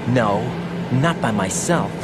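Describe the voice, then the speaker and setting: A young male operative in a video game drama, with an animated, wide-ranging delivery. He sounds earnest and unsure of himself, often questioning, over clearly audible music. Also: medium pitch, slow. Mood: indignant, questioning. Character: young earnest rookie special-forces operative, anxious, questioning.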